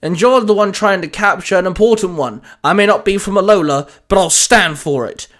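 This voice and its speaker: A man's voice: high voice, a little fast, fairly bright tone, voice pushed up. Man as an eager, brave boy trainer. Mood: determined.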